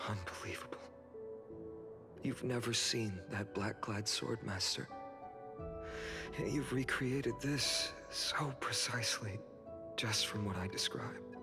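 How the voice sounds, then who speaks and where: An actor playing a noble, earnest young hero in a video game, with measured, formal delivery. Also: male voice, lowish voice. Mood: wistful, resolute. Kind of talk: scripted video game acting. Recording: game music behind the voice.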